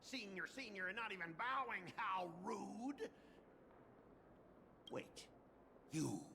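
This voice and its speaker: Game role: haughty, fawning school bully, high and swooping, drawn-out delivery over loud music. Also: male voice, animated, gravelly, slight background noise, heavily acted. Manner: haughty, theatrical, declamatory. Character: pompous, bossy young male senior student, fawning sidekick. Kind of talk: anime-style game voice acting.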